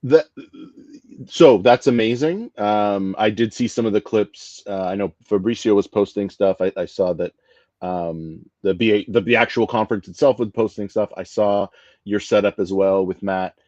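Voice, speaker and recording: A man's voice: lowish voice, normal speed, darkish tone, dry recording. A man hosting a podcast, chatting casually and joking, with many restarts and fillers. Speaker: podcast host. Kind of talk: casual interview chat. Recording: dry, dull lo-fi sound.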